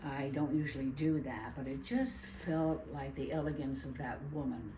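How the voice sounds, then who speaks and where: An older actress from New York tells animated stories about her roles in a casual interview, with laughing asides. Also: a bass voice, moderate pace, noisy background. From USA (New York). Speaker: guest actress. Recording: roomy echo.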